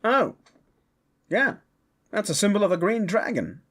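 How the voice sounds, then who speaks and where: A man voicing an excitable, foul-mouthed fantasy creature in an audio drama, chatty and crude with emphatic delivery. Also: sits high, extremely slow, heavily acted. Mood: animated disgust.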